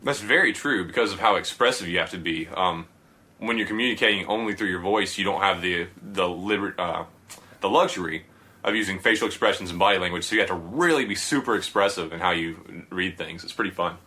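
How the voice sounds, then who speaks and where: A man hosting a casual podcast interview, eager and chatty, quick to laugh. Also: sits low, sharp tone.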